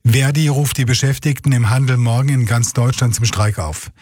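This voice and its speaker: A male news anchor reads scripted bulletins in a husky, slightly rough voice. The delivery is factual and measured, recorded close and very dry in a studio. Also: mid-range voice, steady tone, a little mellow, dry recording. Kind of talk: scripted radio news reading. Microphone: close studio microphone.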